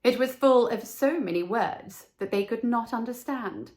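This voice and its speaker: A woman reading a children's story as narrator, measured and expressive. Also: on the high side.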